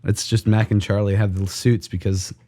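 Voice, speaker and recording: A man's voice: on the low side, flat delivery, moderate pace, mellow, no room sound. A young man co-hosting a podcast in laid-back casual chat, congested and struggling to breathe, mumbling and trailing off. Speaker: podcast co-host.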